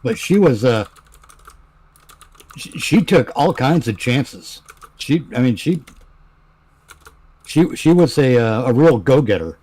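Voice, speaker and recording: A man chatting casually as a panelist, halting and repeating words as he thinks. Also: medium pitch, lively intonation, dark tone. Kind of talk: casual chat.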